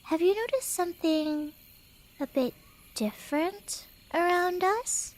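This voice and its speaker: Woman playing a high-voiced, teasing Cheshire cat girl. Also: expressive, takes it slowly, exaggerated voice. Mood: dreamy, sly.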